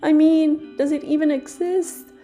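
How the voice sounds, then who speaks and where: A woman voicing an excited young boy who begs and complains, high and eager. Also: unhurried, soft background music. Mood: pleading. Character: excited young boy pleading for ice cream.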